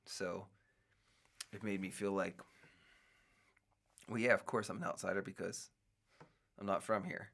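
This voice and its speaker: A middle-aged man with a low, gravelly voice talks loosely on his own show. He drifts from thought to thought, restarting sentences and filling gaps with fillers as he muses. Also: level tone, unhurried, pauses often, fairly bright tone. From United States. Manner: rambling, musing, many restarts and fillers. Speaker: podcast host. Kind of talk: casual solo talk.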